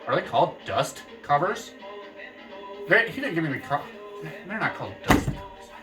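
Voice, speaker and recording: A man's voice: on the high side, very animated, normal speed, distant mic, over music. A man casually chatting about his reading plans on a book vlog, joking around and correcting himself as he goes. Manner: jokey, meandering, self-correcting. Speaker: book vlogger. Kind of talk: casual rambling book chat.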